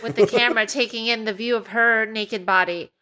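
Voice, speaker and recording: A woman reading amateur fiction aloud to a comedy panel in a deliberate way. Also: fairly high pitch, steady tone, bright, forceful tone. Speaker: podcast reader.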